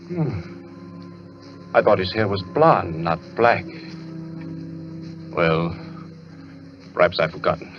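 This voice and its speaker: An actor playing a deranged, obsessive doctor in an old-time radio thriller, theatrical and repetitive, swinging between muttering and ranting. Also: male voice, sits low. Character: deranged doctor asylum inmate, frantic, obsessive, menacing. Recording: dull old radio recording, music underneath.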